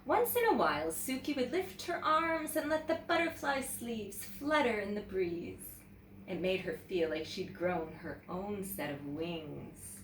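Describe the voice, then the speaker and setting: A woman teacher reading a children's story aloud in an expressive, animated way, talking directly to young viewers. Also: medium pitch, measured pace. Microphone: camera mic at some distance. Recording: roomy, distant mic with background noise.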